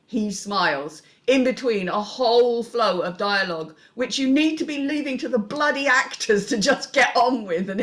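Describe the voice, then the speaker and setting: A woman hosting a casual script-reading panel discussion, speaking frankly with the odd casual swear word. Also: fairly high pitch.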